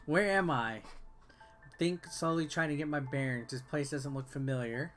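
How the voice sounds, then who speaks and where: A man's voice: on the high side, moderate pace, fairly bright tone. A man doing casual, offhand gameplay commentary and reading game text aloud, with game music behind him. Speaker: game reviewer and video host.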